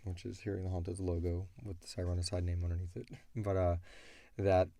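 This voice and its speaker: A man's voice: deep voice, level tone, brisk, gravelly, warm tone, no room sound. A man talking informally as a co-host, explaining things in a rambling, casual way. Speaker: co-host and show creator answering listener questions. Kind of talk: casual chat about an audio drama.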